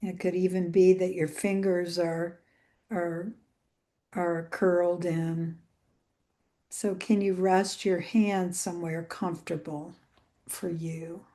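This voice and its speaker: Soothing lady doing guided meditation, really slow w long pauses, mellow soft voice, breathy at the ends. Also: lowish voice, flat delivery. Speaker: meditation and yoga teacher leading a guided meditation.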